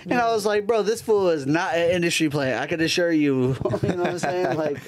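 A man's voice: fairly high pitch, brisk. A young man co-hosting a casual podcast, talking in loose street slang into a handheld mic. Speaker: podcast co-host. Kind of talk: casual slangy group chat. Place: small room with couches, indoors. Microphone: handheld microphone.

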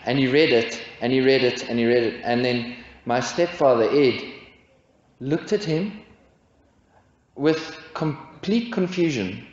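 A man in his forties preaching a sermon from a pulpit, asking his congregation rhetorical questions in a measured way. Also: medium pitch. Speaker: pastor. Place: church, indoors at a pulpit. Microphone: pulpit stand mic. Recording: echoey church, dull lo-fi sound.

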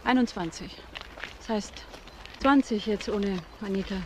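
A woman's voice: medium pitch, a little slow, slight background noise, lightly acted. An actress playing a frank, forthcoming single mother being questioned in a German crime radio drama, in a conversational way. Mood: frank, explanatory. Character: frank, chatty single mother, office employee witness.

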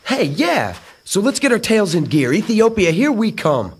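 A man playing an eager, emotional teenage boy sidekick in a motion comic, earnest and excitable. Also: sits high, moderate pace, heavily acted. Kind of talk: motion comic dramatic acting. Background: prominent background music.

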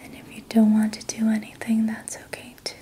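Young woman, soft whisper, soothing ASMR guide, very even tone, long pauses. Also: on the high side. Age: young adult. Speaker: ASMR host doing a healing roleplay. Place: small indoor room at night.

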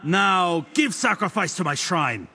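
A haughty god sage from a video game, speaks high and bright with big swoops in pitch. He is pompous and smugly witty, delivering every line with theatrical flair over music. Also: expressive, unhurried, husky, heavily acted. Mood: smug mocking. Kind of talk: video game character voice lines.

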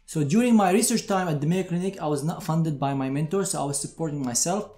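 A man's voice: a little high, fast, mellow, echoey room. A man in his thirties giving a structured, explanatory talk to camera, like a doctor advising graduates. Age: 30s. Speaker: doctor presenting advice to medical graduates. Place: indoor room with shelves, seated. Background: music.

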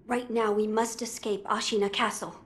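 A woman playing a composed young boy lord in a video game, speaking in a formal, measured manner. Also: medium pitch, level tone, slightly rough, natural voice. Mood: gentle, serious. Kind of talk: video game cutscene acting.

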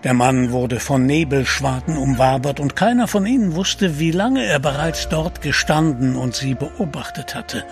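A man's voice: mid-range voice, expressive, moderate pace, few pauses, close to an everyday voice. A man narrating a scripted sea adventure audio drama as its storyteller. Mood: storytelling. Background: music behind the voice.

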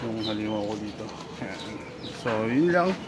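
A man's voice: on the low side, lively intonation, moderate pace. Man casually narrating, very noisy outdoor recording. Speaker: farm vlogger, goat farmer. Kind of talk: casual narration of a farm walk-around. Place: outdoors on a rural backyard goat farm. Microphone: handheld phone behind the camera. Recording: noisy outdoor phone recording, farm animals. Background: birds and animals, outdoor farm ambience.

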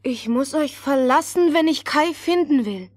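A woman's voice: high-pitched, expressive, a little slow, a little mellow, no echo. A woman playing an earnest, searching little girl in a fairy-tale audio drama. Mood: disappointed, resolute.

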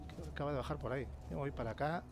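A man's voice: a little high, racing. A man with a Castilian accent from Spain giving casual let's-play commentary, colloquial and sweary, muttering to himself as he goes. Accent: Castilian Spanish from Spain. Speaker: gaming channel host. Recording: clearly noisy, game ambience behind.

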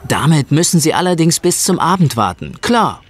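A man narrating a children's audio play as an expressive storyteller. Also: fairly high pitch, normal speed. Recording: music under the voice.

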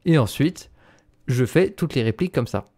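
A man's voice: mid-range voice, animated, normal speed, a little mellow. A man presenting an instructional screencast as a voice-over. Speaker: tutorial presenter.

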